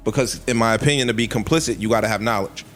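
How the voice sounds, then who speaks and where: Man, American accent, deep pitch, casual slangy panel talk, faint music bed. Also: racing, a bit bright. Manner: slangy, conversational. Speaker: podcast panelist. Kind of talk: casual podcast discussion.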